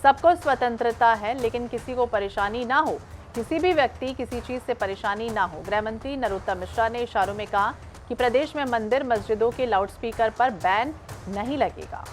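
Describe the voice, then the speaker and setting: A woman's voice: fairly high pitch, moderate pace, soft background music. A woman anchoring breaking television news, repeating headlines with emphasis.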